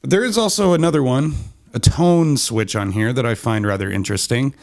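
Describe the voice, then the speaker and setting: A man's voice: medium pitch, brisk, no room sound. A man in his thirties casually reviewing microphones in a small home studio, talking close into a condenser mic with lots of ums and restarts. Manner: chatty, relaxed, many ums and restarts. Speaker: podcast gear reviewer. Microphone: studio condenser on boom arm, close, no pop shield.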